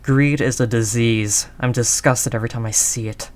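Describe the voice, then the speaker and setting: A man's voice: mid-range voice, steady tone, moderate pace, close to an everyday voice. A man playing a world-weary, doting mafia boss in an intimate roleplay, talking in teasing street slang with pet names. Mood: disgusted. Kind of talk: intimate scripted roleplay audio drama monologue.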